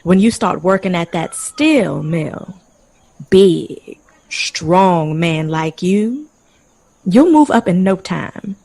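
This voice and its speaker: A woman's voice: lowish voice, animated, slow, gravelly, dark tone, exaggerated voice, low-quality recording. A woman playing a tender, encouraging partner who soothes her man, in an audio drama. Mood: tender, coaxing.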